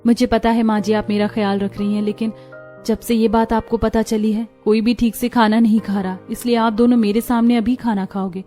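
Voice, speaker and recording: Woman acting as gentle young daughter-in-law, respectful, high voice, Hindi cartoon drama, music underneath. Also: flat delivery, a little fast, natural voice. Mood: caring. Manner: polite, respectful.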